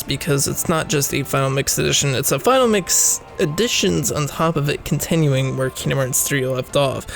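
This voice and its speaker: Gravelly-voiced sick young man. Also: mid-range voice, a bit bright. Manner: rambling, laughs often, self-deprecating asides. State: sick, voice rough. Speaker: gaming video creator. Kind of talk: casual opinion commentary.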